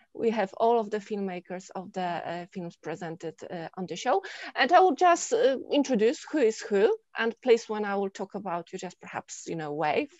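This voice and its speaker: A woman hosting an online festival panel in a casual, somewhat halting way, over a video call. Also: mid-range voice. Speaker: festival Q&A host. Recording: dull, roomy video-call sound.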